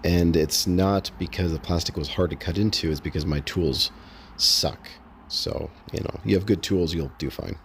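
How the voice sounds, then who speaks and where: A man casually narrating a how-to vlog in a halting, unscripted, matter-of-fact way. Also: deep voice, gravelly, slight background noise. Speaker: host of a DIY install vlog.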